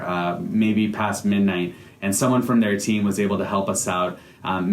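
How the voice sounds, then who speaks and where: An earnest American man speaks in a low voice, telling his story as a founder in a testimonial. His talk is padded with plenty of "uh" and "um" fillers. The room around him is echoey. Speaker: company founder giving a customer testimonial. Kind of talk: testimonial to camera, semi-prepared speech.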